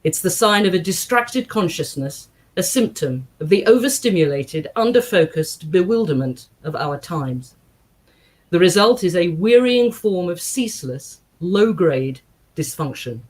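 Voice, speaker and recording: A woman reading aloud to a congregation in an expressive style, with measured, deliberate phrasing and emphatic pauses. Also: fairly low pitch. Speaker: guest reader at a synagogue service. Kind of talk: expressive book reading with short spoken introduction.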